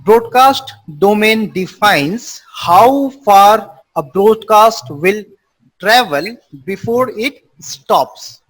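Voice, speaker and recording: Instructor type: husky man, high voice, unhurried, repeats each definition and quizzes the class. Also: expressive, fairly bright tone, rough recording. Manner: explains slowly with repeated simple definitions, quizzes students. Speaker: networking instructor. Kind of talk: live online class.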